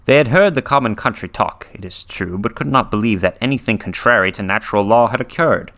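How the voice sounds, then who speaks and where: Male narrator, fairly low pitch, for dramatic horror fiction read-through; theatrical delivery with hushed, breathy dips. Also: brisk. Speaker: reader.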